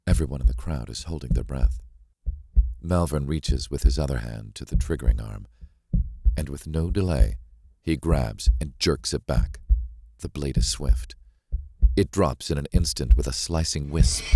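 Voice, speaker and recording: A man narrating a thriller novel as a grave, watchful witness, measured and deliberate in his delivery. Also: extremely low pitch, darkish tone, no echo. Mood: grave, suspenseful. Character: grave, watchful man recounting a horrific stage act. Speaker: audiobook narrator.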